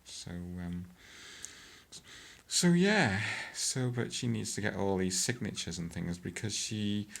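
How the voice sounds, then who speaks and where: A deep, gravelly voice from a woman talking straight to camera in a relaxed vlog. She hesitates a lot, filling gaps with ums and clearing her throat between thoughts. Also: takes it slowly. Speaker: vlogger. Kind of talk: casual vlog update.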